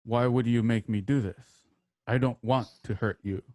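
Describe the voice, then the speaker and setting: An actor playing a stern, stoic warrior mentor in a radio drama, speaking solemnly in terse, measured phrases. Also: male voice, medium pitch, steady tone, background noise, close to an everyday voice. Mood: grave.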